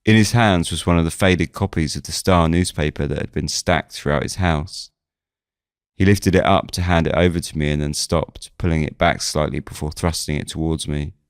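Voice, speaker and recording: A man reading scripted first-person audio drama narration in a measured storytelling manner. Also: a bass voice, steady tone, smooth, no room sound, studio quality. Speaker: narrator and lead of a fiction podcast.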